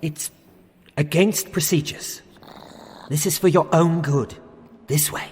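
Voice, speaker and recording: An actor playing an older, fussy and unhinged English librarian in an audio drama, formal and officious in manner. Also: male voice, a little high, fairly even intonation, slow, slight background noise. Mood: officious, ominous. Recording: long hall reverb, music underneath.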